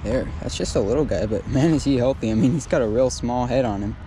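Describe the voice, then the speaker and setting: A man's voice: mid-range voice, quick pace. A man giving casual, exclamatory commentary to himself while fishing outdoors, recorded on a body-worn action camera. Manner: informal, exclamatory, talking to himself and the fish. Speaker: angler filming his own fishing vlog. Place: outdoors on a lake shore. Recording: outdoor action camera with clear ambient noise.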